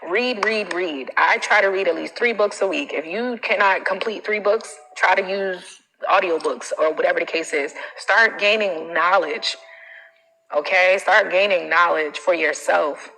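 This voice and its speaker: An American woman giving casual livestream coaching in a blunt, animated, colloquial way. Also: fairly low pitch, unhurried. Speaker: self-confidence coach. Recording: reverberant, echoing room sound.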